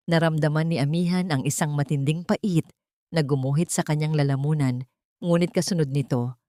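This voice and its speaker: A woman reading a scripted, sentimental story in a measured, solemn manner. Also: sits low, darkish tone, no room sound, clean studio sound. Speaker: storyteller, narrator. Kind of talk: scripted dramatic story narration.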